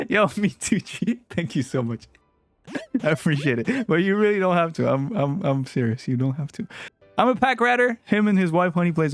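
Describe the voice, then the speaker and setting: A young man whose first language is Spanish chats on a live stream, his voice pitched a little high and swinging widely as he jokes and teases his viewers. Soft music plays faintly behind him. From Latin America. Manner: animated, playful, teasing with chat. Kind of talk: casual live-stream chat.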